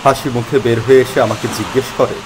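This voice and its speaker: A man's voice: medium pitch, steady tone, fast, noisy background. A man narrating a scripted mystery story in the first person.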